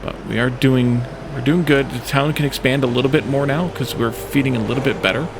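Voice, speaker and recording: A man's voice: mid-range voice, fairly even intonation, brisk, noisy background. A man giving casual video game commentary as a let's play host, thinking aloud and musing to himself in short fragments. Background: game music.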